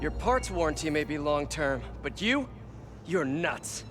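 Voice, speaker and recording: A man's voice: on the high side, big pitch swings, a little slow. An actor playing a young, wisecracking male superhero and earnest mentor, in glib conversational video game voice acting. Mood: wry. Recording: loud music behind the voice.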